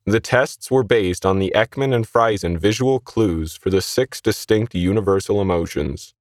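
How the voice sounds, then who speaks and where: A man's voice: fairly low pitch, normal speed, no echo, clean studio sound. A man narrating a scripted short story as its reader.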